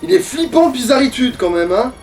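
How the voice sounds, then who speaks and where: A man playing a video game and chatting casually, foul-mouthed and prone to exasperated grumbling and shouting. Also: very high-pitched, big pitch swings, a little fast, recorded from a distance, faint music behind. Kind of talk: casual let's play chat.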